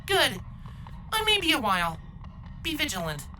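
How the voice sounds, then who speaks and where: A man's voice: very high-pitched, wildly swooping pitch, normal speed, pushed and bright, soft background music, pitched above the performer's own voice, heavily acted. A man voicing a commanding, agitated female changeling queen in a storybook reading, with noise behind the voice. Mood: stern command.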